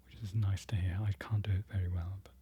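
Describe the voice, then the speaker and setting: A presenter whispering an ASMR-style lesson, slowly repeating foreign words and correcting themselves. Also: low-pitched, level tone, slightly rough. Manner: whispering throughout, soft and close. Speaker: presenter learning Polish from a book.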